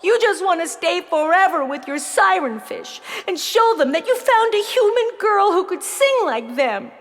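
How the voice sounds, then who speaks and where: A woman's voice: extremely high pitch, very animated, moderate pace. A woman playing an emotional, brainy nine-year-old girl in a children's audio play, melodramatic and pleading. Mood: enraged, betrayed. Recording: long reverb on the voice.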